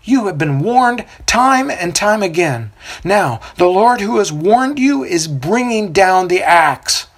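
A storyteller voicing a fiery male desert prophet with a booming, coarse voice who preaches loudly to a crowd. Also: lively intonation, slow pace. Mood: accusing.

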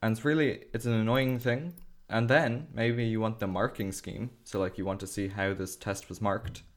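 A man's voice: fairly low pitch, steady tone, normal speed. A teenage boy with an Irish accent chatting casually and explaining his schoolwork at length, with plenty of fillers. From Ireland. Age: teens. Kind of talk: casual video chat.

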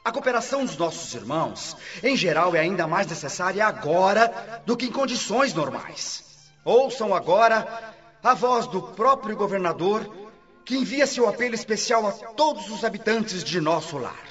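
A formal public announcer in a radio drama, calling a crowd to order. The voice is high and heard from a distance. The audio is dull and lo-fi, with faint music behind. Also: male voice, big pitch swings, moderate pace, fairly bright tone.

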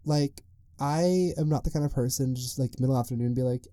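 Young adult male podcast host, deep mellow tone, loose rambling delivery, dry close mic. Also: brisk, dry recording. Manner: rambling, loose and chatty, full of "like". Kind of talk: casual solo podcast monologue.

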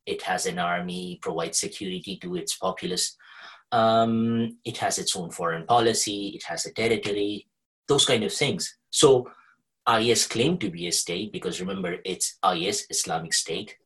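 Low-pitched man explaining as a guest. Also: normal speed, fairly bright tone, poor audio. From Kuwait. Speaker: podcast guest, researcher with a journalism degree. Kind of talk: conversational interview, explanatory.

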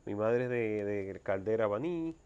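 A man's voice: lowish voice, animated, measured pace, darkish tone, smooth, highs cut off. A man in his thirties with a Dominican accent, speaking as an interview guest in an explanatory, conversational way. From Dominican Republic.

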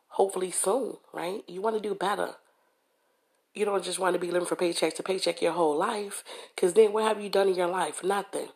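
A hoarse woman hosting a motivational podcast, talking to listeners in a conversational way and often checking in with "okay?" and "you know". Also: deep voice. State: hoarse from a cold. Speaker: podcast host. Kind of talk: motivational monologue.

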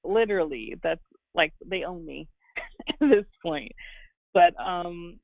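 A woman's voice: fairly low pitch, animated, slow pace, creaky, no echo, phone-quality sound. A woman from Guyana giving earnest, reflective, conversational answers as an interview guest. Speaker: interview guest, fashion designer and business owner.

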